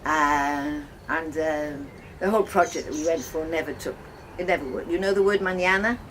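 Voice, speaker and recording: Older woman from Wales, low voice, casual storytelling, halting reminiscence, light background noise on a home video. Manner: reminiscing, conversational, halting with pauses. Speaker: host of guest lodging, farmer's wife. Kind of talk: casual personal storytelling to guests. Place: informal talk to visitors, filmed by a guest. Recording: noisy ambient home video.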